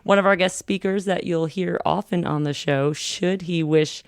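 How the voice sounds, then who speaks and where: Female podcast host, lowish voice, playful and teasing; dry, close recording. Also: steady tone, moderate pace, creaky, dry recording. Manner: teasing, playful, laughs often. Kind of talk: casual podcast interview.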